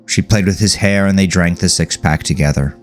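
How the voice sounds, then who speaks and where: A man narrating dramatic horror fiction as a scripted audiobook, with a gravelly, creaky voice. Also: sits low, brisk.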